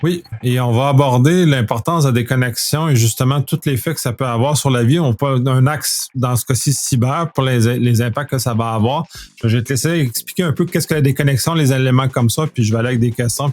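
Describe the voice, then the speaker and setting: A man's voice: medium pitch, brisk, darkish tone. Man hosting a podcast. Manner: loose, restarting phrases while setting up questions. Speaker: podcast host. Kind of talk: conversational interview.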